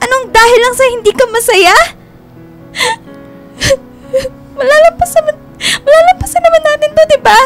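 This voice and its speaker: A woman's voice: extremely high pitch, a little slow, pauses often. An actress playing a devoted, clingy young girlfriend in a radio drama, emotional and insistent in her pleading. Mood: tearful pleading. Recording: music bed under the voice.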